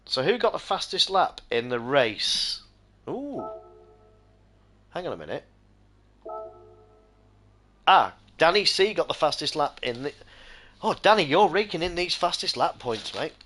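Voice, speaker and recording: A middle-aged man hosting and commentating a sim racing stream, hoarse and close to losing his voice, switching between excited play-by-play and rambling chat with viewers. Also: on the high side, a little slow, long pauses, a little hiss or hum. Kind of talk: live-stream race commentary.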